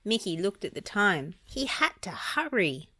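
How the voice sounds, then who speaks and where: A woman reading a children's storybook aloud as an expressive narrator. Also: mid-range voice, a little slow. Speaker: storybook reader.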